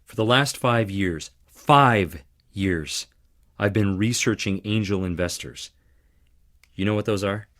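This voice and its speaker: An actor playing a charming, theatrical theater performer with dramatic flair in a video game. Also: male voice, fairly low pitch, slow pace, a bit bright. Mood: tense, bitter. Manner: theatrical, dramatic, swinging between playful and intense. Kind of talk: video game character dialogue.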